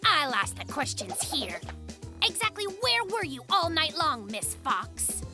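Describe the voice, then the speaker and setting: A woman voicing an excitable, self-important young male polar bear cub who plays detective in a cartoon, speaking with bossy melodrama. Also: high voice, a little slow, music in the background. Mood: accusing. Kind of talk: cartoon acting with mock detective narration.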